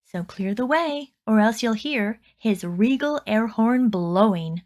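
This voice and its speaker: Female children's narrator, higher register, very unhurried, expressive and carefully phrased read-aloud of rhyming verse.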